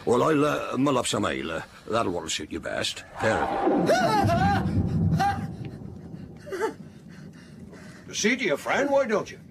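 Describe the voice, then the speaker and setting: An actor playing a genial, shrewd country innkeeper with archaic rustic speech in a radio drama. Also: male voice, medium pitch, huge pitch swings, measured pace, vocal fry, very noisy, heavily acted. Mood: kindly.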